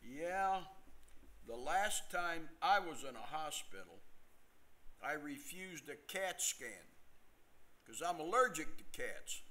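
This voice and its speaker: An older man playing a corny, genial joke-teller in an amateur staged reading, pausing deliberately before his punchlines. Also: fairly high pitch, lively intonation, pushed and bright, exaggerated voice. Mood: jokey, eager.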